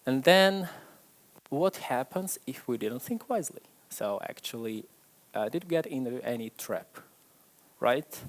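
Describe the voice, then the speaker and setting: Male conference speaker, chatty and self-deprecating, middle pitch, speaking to a live crowd. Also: lively intonation, a little slow. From Ukraine. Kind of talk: tech conference talk. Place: stage before a live audience.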